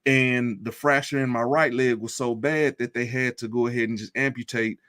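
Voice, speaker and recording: A man speaking as an interview guest, telling his story in an earnest, deliberate and reflective way. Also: medium pitch, steady tone, fairly bright tone. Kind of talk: personal testimony in an interview.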